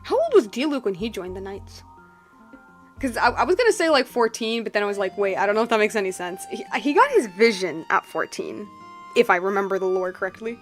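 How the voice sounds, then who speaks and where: A woman's voice: medium pitch, expressive, brisk, soft background music. A woman game streamer giving casual playthrough commentary in a rambling, joking way, often repeating her phrases.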